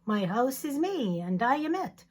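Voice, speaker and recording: Woman reading as a calm, contented storybook man, gentle, slow, lilting. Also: high voice, heavily acted. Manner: gentle, unhurried, matter-of-fact.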